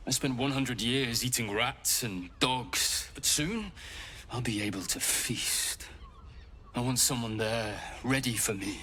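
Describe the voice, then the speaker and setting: An actor playing a bitter, arrogant, hungry vampire spawn in a video game, speaking with biting scorn. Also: male voice, medium pitch, takes it slowly, pushed and bright, slight background noise. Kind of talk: dramatic video game voice acting.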